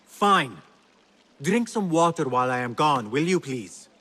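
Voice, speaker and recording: An actor voicing an exasperated young infiltrator posing as a servant, dry and measured, in a video game cutscene. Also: male voice, fairly high pitch, animated, sharp tone, heavily acted. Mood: impatient, muttering.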